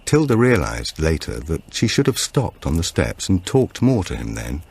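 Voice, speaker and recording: A man reading a suspense thriller as an audiobook narrator, in a measured, scripted way. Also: low voice, huge pitch swings, creaky, a little mellow, breathy edge. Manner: measured, acts out dialogue.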